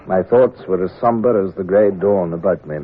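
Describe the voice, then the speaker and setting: A grave naval captain, played by a man, recalls his voyage in a deep, low voice, measured and reflective. The sound is thin and phone-like, with a faint hiss, like an old radio play broadcast. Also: close to an everyday voice. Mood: somber. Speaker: narrator and lead character. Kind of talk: 1950s-style radio drama.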